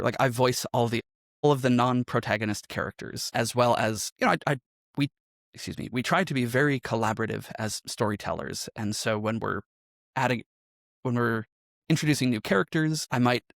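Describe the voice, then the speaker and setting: Mid-pitched man in a dry, close recording. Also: no room sound. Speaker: podcast guest, game master. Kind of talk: conversational interview.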